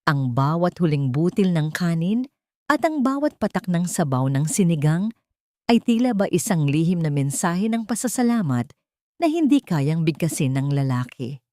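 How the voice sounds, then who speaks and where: Low-voiced woman narrating a drama. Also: sing-song, moderate pace, darkish tone, dry recording, studio quality. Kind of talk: scripted dramatic story narration.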